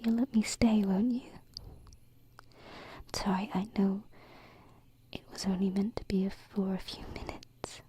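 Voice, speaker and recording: A woman voicing a gentle, wistful alien princess in an intimate ASMR roleplay, speaking softly and hesitantly close to the microphone. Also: medium pitch, fairly even intonation, a little hiss or hum, natural voice. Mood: tender, shy. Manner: soft, hesitant, tender, unhurried. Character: gentle, wistful young alien princess, female, far from home. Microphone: close binaural ASMR microphone.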